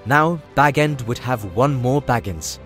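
A man narrates a scripted story in a mid-pitched voice. His intonation is animated, and music plays behind him. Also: a little slow.

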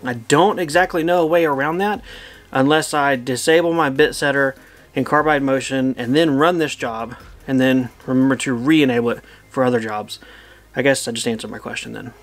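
A man in his thirties casually explaining a machine setup in his home woodshop, easygoing and plainspoken, thinking out loud. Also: medium pitch, normal speed. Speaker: woodworking channel host. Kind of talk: casual how-to narration.

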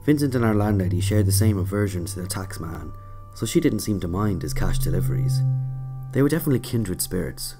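A man narrating a scripted autobiographical story in a measured, dry way. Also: low voice, animated. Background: loud music.

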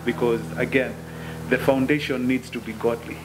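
A man's voice: mid-range voice, fairly even intonation, normal speed. Man, minister at a press table, earnest preacher-style talk, noisy room. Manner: earnest, preacherly, quotes scripture. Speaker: minister, gospel musician. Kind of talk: press conference answers. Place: press conference room, indoors. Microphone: table microphone.

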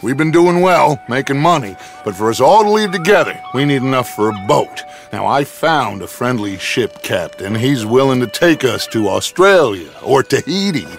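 An actor playing a persuasive American outlaw gang leader in a western game, speaking with theatrical urgency. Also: male voice, mid-range voice, expressive, normal speed, few pauses, faint music behind, close to an everyday voice. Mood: urgent, persuasive. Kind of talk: video game cutscene acting.

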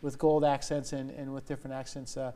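A man's voice: medium pitch, level tone, fairly quick, dark tone. An American man in his thirties hosting a conversational morning news interview in a TV studio. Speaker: TV news anchor interviewing a guest.

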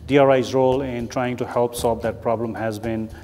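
A middle-aged research professor from Nepal, giving an earnest, explanatory documentary interview with many fillers, on a lapel mic in a large open office. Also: male voice, mid-range voice, fairly even intonation, normal speed, mellow, long reverb tail.

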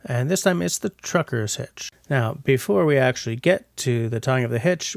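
A man's voice: mid-range voice, creaky, no echo, clean studio sound. A man in his forties with a jokey, self-mocking manner, narrating a casual vlog voice-over. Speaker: bushcraft vlogger. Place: voice-over, not recorded outdoors. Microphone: voice-over mic.

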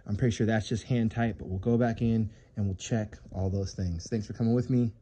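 A man's voice: lowish voice, fairly even intonation, a little fast, mellow, breathy edge. A man filming himself in his home garage as he works on an engine, chatting casually about the build and joking at his own expense. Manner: chatty and self-mocking, jokey asides. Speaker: car hobbyist vlogger. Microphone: phone camera held while working.

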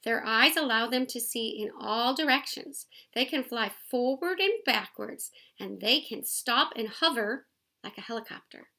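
A woman's voice: on the high side, sharp tone, full character voice. A woman voicing a patient mother explaining pond life from a field guide in a storybook. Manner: explaining, teacherly.

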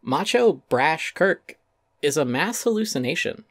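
A man's voice: fairly high pitch, very animated, measured pace, dry recording, studio quality. A man giving an articulate, emphatic and wry scripted reading of an essay as its narrator.

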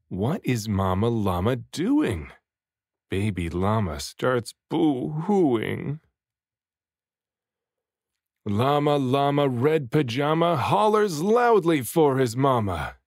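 A man reads a picture book aloud for young children. His mid-pitched voice moves slowly through the rhymes with deliberate rhythm and expression, recorded close and very dry. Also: no echo. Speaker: children's book reader, voice actor.

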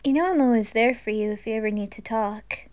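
A woman voicing a sweet, caring, bashful teenage anime boy in a gentle, hesitant way for an amateur roleplay. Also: a little high, fast, close to an everyday voice.

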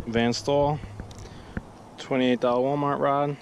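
A man's voice: mid-range voice, level tone, slow pace. A man chatting casually in short, laid-back remarks while fishing outdoors. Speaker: vlogger filming a fishing trip. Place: outdoors by a pond. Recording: noisy outdoor action-camera audio.

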